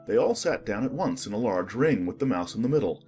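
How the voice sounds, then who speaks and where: A man reads scripted storybook narration for an audiobook. His voice is low and moves expressively up and down. Faint music runs behind him. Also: very animated, fairly quick, a little mellow.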